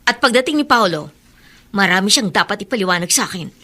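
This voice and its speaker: An actress playing an assertive, impatient young show performer in a radio drama. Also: female voice, medium pitch, animated, fast, pushed and bright. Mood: insistent, annoyed. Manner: insistent, indignant.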